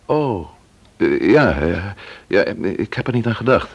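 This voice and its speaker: A man playing a pensive investigator in a Dutch radio drama, with a gravelly, husky voice and a halting manner. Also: on the low side, sing-song, lo-fi sound, full character voice. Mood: awkward, hesitant. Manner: hesitant, halting. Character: hesitant, pensive male investigator, awkward with women.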